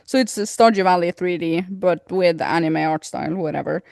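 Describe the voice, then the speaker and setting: A woman's voice: fairly low pitch, normal speed, creaky, a little mellow, no echo, clean studio sound. A woman chatting casually as a podcast co-host in a playful, teasing manner. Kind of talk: casual chat.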